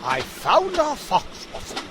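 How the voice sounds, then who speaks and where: A man's voice: very high-pitched, huge pitch swings, slow pace, background noise, exaggerated voice. A man voicing an eccentric, showy detective in a comedic audio drama, speaking in a plummy, theatrical manner. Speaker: voice actor in a fan audio drama.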